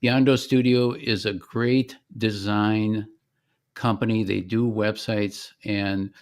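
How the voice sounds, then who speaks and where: A man presenting a software demo in an informal, conversational way, as the founder showing his product on screen. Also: on the low side, little pitch movement, slow, clear, resonant tone. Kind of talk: informal screen-share demonstration.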